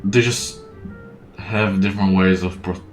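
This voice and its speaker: A quiet, earnest adventurer from a game. His voice is very deep and he speaks haltingly. It sounds roomy, with music clearly behind it. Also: steady tone. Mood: hesitant, thoughtful. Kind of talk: voiced video game dialogue.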